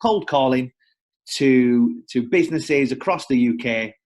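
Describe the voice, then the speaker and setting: A man's voice: medium pitch, a little slow, darkish tone. A young man from the north of England with a northern English accent, chatting as a podcast guest from home over a remote call, friendly and a little self-deprecating. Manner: chatty, self-deprecating, repeats words as he thinks. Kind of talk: casual podcast chat.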